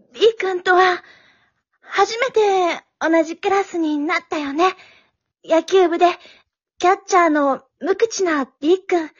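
A woman's voice: very high-pitched, takes it slowly, long pauses, lo-fi sound. An actress playing an eccentric female manners instructor who talks in an earnest, dramatic way and rambles to herself. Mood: fretful. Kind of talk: comic amateur radio drama.

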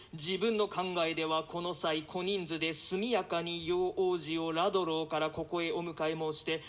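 A man's voice: on the high side, a bit bright, natural voice. A man playing a formal, persuasive court nobleman in a classical stage drama, speaking in oratorical, archaic language. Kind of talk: theatrical classical Japanese play reading.

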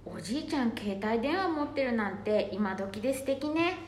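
A bustling housewife acted by a woman speaks politely in a very high voice. It is a homemade radio drama, with an echoey room and slight noise. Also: expressive, moderate pace, lightly acted. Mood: admiring. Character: bustling, polite wife and daughter-in-law of the household. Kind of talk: amateur radio drama acting.

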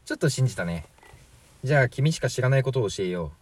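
A man's voice: mid-range voice, animated, fast, slightly rough. A man playing a chatty, flippant 43-year-old from the future in a radio drama, glib and matter-of-fact. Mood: calm, matter-of-fact. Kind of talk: amateur radio drama acting.

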